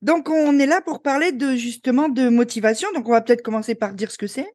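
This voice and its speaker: A woman's voice: on the high side, fairly quick, darkish tone. A woman hosting a podcast as a school coach, reading a scripted introduction and then chatting informally with fillers.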